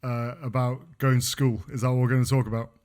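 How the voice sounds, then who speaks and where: English guy cohosting a podcast, monotone, rambly, jokes about himself. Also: mid-range voice, fast talker. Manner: self-mocking, rambling with restarts. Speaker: podcast co-host. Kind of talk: casual chat.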